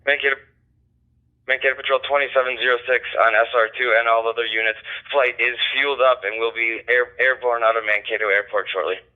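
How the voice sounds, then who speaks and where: A man's voice: medium pitch, fairly even intonation, a little fast. A male police radio operator making formal announcements over a narrow-band channel.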